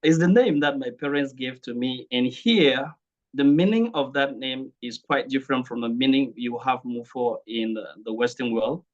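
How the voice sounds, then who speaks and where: A young adult man with a Cameroonian accent, presenting informally to an online group in a deliberate, halting way with repeated words. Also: medium pitch, highs cut off. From Cameroon, English-speaking region. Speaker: speaker sharing testimonial at online training.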